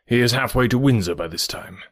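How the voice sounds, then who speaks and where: A reader voicing a genial middle-aged gentleman in an audiobook, brisk and reassuring. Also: medium pitch, dry recording, clean studio sound, lightly acted. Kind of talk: audiobook character voice.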